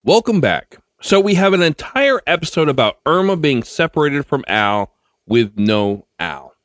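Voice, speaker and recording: Animated male podcast host, scripted delivery. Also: a little high, measured pace, no room sound, studio quality.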